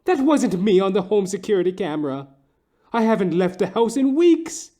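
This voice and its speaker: High, pleading damsel in distress. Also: male voice, big pitch swings, normal speed, mellow. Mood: desperate pleading. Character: desperate, melodramatic damsel-in-distress wife begging for help. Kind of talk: comedic detective audio play.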